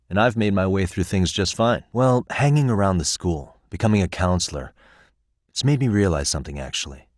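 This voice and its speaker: A man's voice: deep voice, darkish tone, no echo, studio quality. An actor playing a casual, wry and encouraging male detective who doubles as a school counselor, in an English video game dub, with a conversational delivery.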